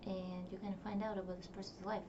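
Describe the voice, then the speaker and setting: A woman's voice: mid-range voice, little pitch movement, quick pace. Russian-speaking woman musing in a diary vlog. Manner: rambling, reflective, many fillers and restarts. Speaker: vlogger. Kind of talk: casual diary vlog to camera. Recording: distant, dull, noisy home recording.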